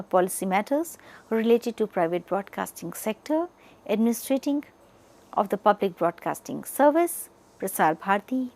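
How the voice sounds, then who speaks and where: Female lecturer, Indian accent, mid-pitched voice with noticeable creak, deliberate explanatory delivery. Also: a little mellow. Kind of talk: educational lecture, partly read from notes.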